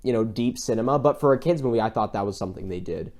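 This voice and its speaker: A man hosting a casual review discussion, talking in a rambling, halting way that circles back mid-sentence. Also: fairly low pitch, darkish tone. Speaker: podcast host.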